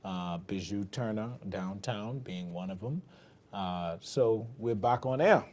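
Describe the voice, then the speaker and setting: A man's voice: fairly low pitch, lively intonation, slow pace, darkish tone, a little hiss or hum. A man from the Bahamas with a Bahamian accent, hosting a TV commentary show and delivering fiery, emphatic political commentary in a preaching cadence. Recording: television broadcast.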